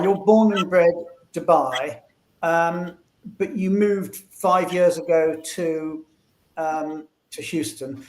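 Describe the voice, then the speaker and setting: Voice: mid-range voice, darkish tone, slight background noise. Chatty host in an echoey room. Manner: chatty, rambling questions with restarts. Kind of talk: online panel interview.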